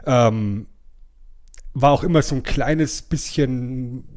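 A man's voice: medium pitch, slow, a little mellow. A middle-aged man co-hosting a podcast, chatting casually with a wry, self-deprecating manner. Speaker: podcast co-host. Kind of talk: casual chat.

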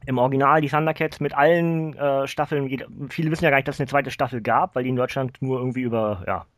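A man, talking casually on a podcast in a rambling, halting way. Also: medium pitch, steady tone, no echo, studio quality. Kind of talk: casual chat.

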